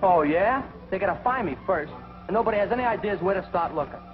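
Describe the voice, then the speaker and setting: An actor playing a cocky, wisecracking, mischief-making bungling pilot in a 1940s comic training film, boastful and slangy. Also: male voice, high voice, expressive, fast talker. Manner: boastful, slangy wise guy. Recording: muffled 1940s film soundtrack with music.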